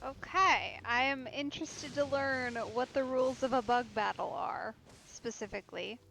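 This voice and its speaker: A woman's voice: high voice, moderate pace, vocal fry, a bit bright, slight background noise, low-quality recording. A woman streamer giving chatty, informal commentary while playing a game. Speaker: let's play streamer. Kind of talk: casual gameplay commentary.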